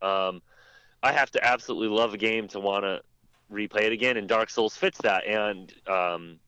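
A man chatting casually as a podcast panelist about video games, rambling and haltingly rephrasing himself. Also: fairly low pitch, bright, forceful tone, clear, resonant tone, no echo. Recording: dry, dull lo-fi audio.

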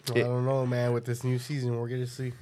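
A man's voice: medium pitch, monotone, fast talker, a little mellow. A young man co-hosting a casual podcast, ribbing his friends in slangy, offhand talk. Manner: teasing, slangy. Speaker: podcast co-host. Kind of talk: casual banter among friends.

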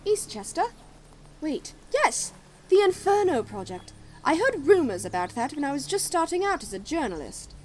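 A woman's voice: sits high, sing-song, moderate pace, a little mellow, slight background noise. A woman playing a plucky, inquisitive young journalist with a cheeky streak in an audio drama. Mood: curious. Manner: inquisitive, teasing, outspoken.

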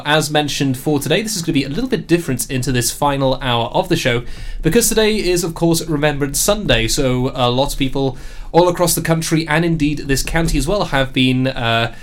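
A man's voice: medium pitch, fairly bright tone. A man presenting informally and live as a radio show host in a studio.

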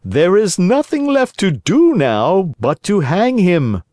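Guy putting on a taunting killer, slow and drawn-out, dry sound. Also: high-pitched, big pitch swings, dark tone, dry recording, voice pushed up, heavily acted. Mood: menacing. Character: two menacing male assassins, mocking.

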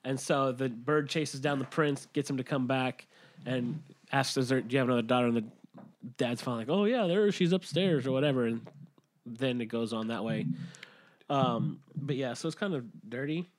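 A man's voice: medium pitch, normal speed. A man co-hosting a podcast, retelling stories to friends in an informal, mildly profane way. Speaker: podcast co-host. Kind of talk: casual retelling among friends.

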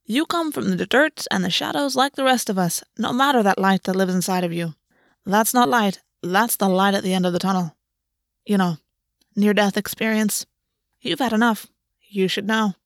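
A woman's voice: medium pitch, normal speed, no echo, natural voice. Lady doing a smug teasing underworld god guy, sarcastic and offhand, dry close sound. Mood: mocking. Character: mocking male underworld god, sly and amused.

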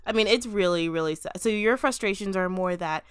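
A young adult woman co-hosting a casual podcast, speaking in an animated, supportive way with plenty of "like". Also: medium pitch, a little fast, smooth, dry recording. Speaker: podcast co-host.

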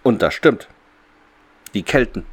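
A man's voice: fairly low pitch, lively intonation, slow pace, breathy edge. A man co-hosting a podcast, recapping a radio play in casual conversation. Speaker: podcast co-host.

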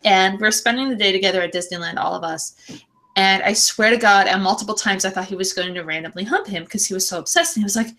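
A woman's voice: medium pitch, quick pace, bright, forceful tone. A woman co-hosting a podcast, chatting casually about films in a gushing, slangy, effusive way. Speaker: podcast co-host.

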